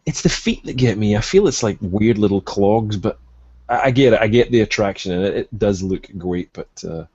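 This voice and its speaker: A man hosting a casual chat about collectible toys, with a husky, gravelly voice. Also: fairly low pitch, lively intonation, moderate pace, darkish tone, no echo, muffled recording. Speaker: podcast host.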